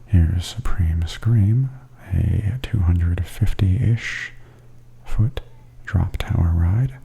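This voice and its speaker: A man giving softly spoken ASMR tour commentary in a hushed, breathy half-whisper. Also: deep voice, drawn-out words. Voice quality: soft, breathy. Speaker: host of ASMR walking tour videos.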